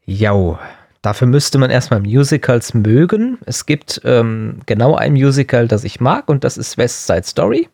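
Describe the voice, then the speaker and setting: A man's voice: medium pitch, sing-song, a little slow, gravelly, mellow, dry recording, clean studio sound. A man co-hosting a podcast in casual, joking chat. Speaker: podcast co-host.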